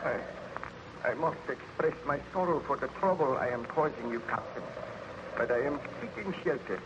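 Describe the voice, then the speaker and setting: A man's voice: medium pitch, animated, moderate pace, natural voice. Guy playing weak tormented baron, formal and melodramatic, old radio serial, muffled and really noisy. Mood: weary, anguished. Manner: formal, melodramatic, archaic phrasing. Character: weak, haunted baron driven by tormented quest. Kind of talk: old-time radio drama acting.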